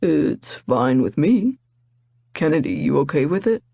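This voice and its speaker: A woman's voice: deep voice, very animated, measured pace, creaky, warm tone, slightly rough, no echo, heavily acted. A woman voicing a big groom who teases his friend in a dry, wry way.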